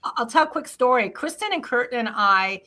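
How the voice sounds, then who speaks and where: A woman telling a quick personal story in a casual, halting way, repeating words as she starts. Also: fairly high pitch, expressive, bright, forceful tone.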